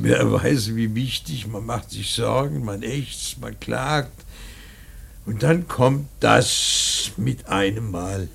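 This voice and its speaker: An old painter in mourning for a radio play, bitter and rambling. His voice is husky and crackles with gravel. He speaks slowly and haltingly, with heavy emphasis that swells out of murmurs. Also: mid-range voice, full character voice. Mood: shaken, musing, then solemn, defiant. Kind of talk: theatrical German radio drama monologue.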